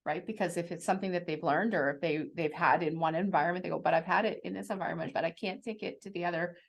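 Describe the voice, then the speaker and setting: A middle-aged woman explaining things conversationally as a co-host, in a measured, practical way. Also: on the low side, steady tone, vocal fry, highs cut off. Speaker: parent sharing parenting strategies as co-host.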